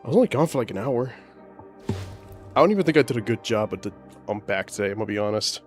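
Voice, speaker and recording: A man with a boomy voice, casually chatting while streaming a game from home and talking to his pet. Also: fast talker, soft background music. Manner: relaxed, rambling, talks to his pet. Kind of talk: casual livestream chat. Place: home, indoors. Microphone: desk microphone.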